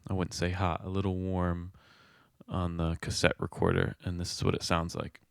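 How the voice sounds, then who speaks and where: Very deep, even-toned young man. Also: moderate pace, vocal fry. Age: 20s. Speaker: musician and host of a home recording video. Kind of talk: casual explanatory vlog talk. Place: small home studio room, indoors. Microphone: close microphone, handheld or on a stand.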